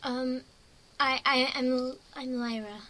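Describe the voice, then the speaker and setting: A woman's voice: sits high, steady tone, slow, bright, forceful tone, heavily acted. A woman voicing a timid, frightened young unicorn stowaway in a story reading, hesitant and stammering. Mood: nervous, stammering.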